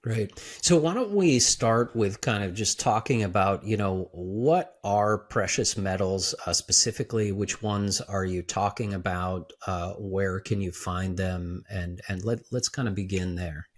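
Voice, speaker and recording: A man hosting an interview, asking questions in a relaxed way with many fillers. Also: deep voice, measured pace, hardly any pauses. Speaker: podcast host.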